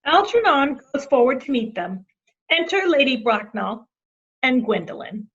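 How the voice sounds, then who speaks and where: A woman narrates stage directions in a high voice. She takes her time over the scripted words, and the sound is dull and lo-fi. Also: big pitch swings, unhurried, fairly bright tone, slightly rough. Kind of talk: scripted narration for a play reading.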